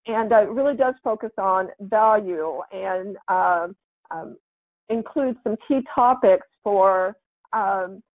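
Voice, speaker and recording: A woman walks listeners through slides in an online webinar. She talks at a middle pitch and pauses often with "um" as she finds her words. Her voice is recorded close and dry, with no room sound. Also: dry recording, low-quality recording. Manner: halting, with frequent "um" fillers. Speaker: webinar presenter.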